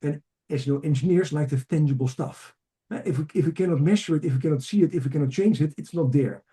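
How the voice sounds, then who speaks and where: Dark-toned middle-aged man with Dutch accent. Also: on the high side, fast. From Amsterdam, Netherlands. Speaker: podcast guest, leadership coach. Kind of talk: conversational personal storytelling.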